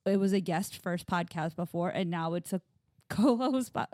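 A woman's voice: on the low side, normal speed, a little mellow, dry recording, studio quality. A woman co-hosting a podcast, explaining things plainly in casual chat. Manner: matter-of-fact, explaining. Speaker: podcast co-host.

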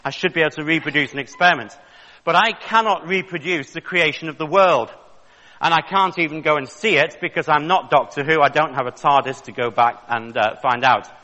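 A man's voice: fairly high pitch, fairly quick, pushed and bright, dull audio. A man in his fifties from the north of England, giving a joking, chatty lecture to an audience. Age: 50s. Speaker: creationist speaker. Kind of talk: public lecture. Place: large lecture hall. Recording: long reverb of a large hall.

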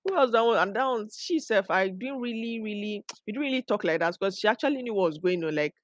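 A woman with a Nigerian accent and a deep voice hosts a podcast, talking casually and emphatically to listeners, often repeating phrases for effect. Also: expressive, quick pace, dry recording. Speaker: podcast host. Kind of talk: casual conversational monologue to listeners.

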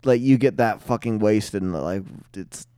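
A man's voice: on the low side, unhurried, dark tone, smooth, no room sound, clean studio sound. A man co-hosting a podcast, telling stories and joking with lots of swearing. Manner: storytelling, joking, swears freely. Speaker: podcast co-host. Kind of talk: crude casual banter. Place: podcast studio.

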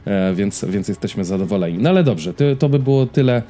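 Mellow man chatting on a podcast. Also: on the low side, expressive, brisk. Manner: informal, joking, anecdotal. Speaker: podcast co-host. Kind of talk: casual podcast chat.

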